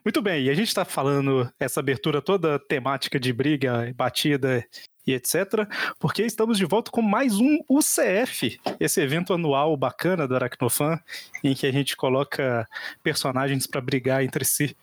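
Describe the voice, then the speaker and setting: A man with a Brazilian Portuguese accent hosting a podcast, chatty and quick to laugh. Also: medium pitch, expressive, dry recording, studio quality. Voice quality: chatty and laughing, lots of fillers. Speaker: podcast host. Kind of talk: casual podcast chat.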